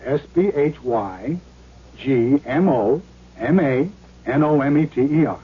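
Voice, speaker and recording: Husky, knowing American man. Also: mid-range voice, lively intonation, slow. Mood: matter-of-fact. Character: knowing, patient man explaining in a radio ad. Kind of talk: 1970s radio public service dialogue. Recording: dull vintage radio broadcast.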